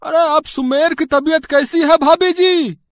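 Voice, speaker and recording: Man doing a high-pitched, excitable male neighbour. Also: a little slow, dry recording. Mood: concerned. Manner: urgent, excitable. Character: agitated male neighbour and family friend.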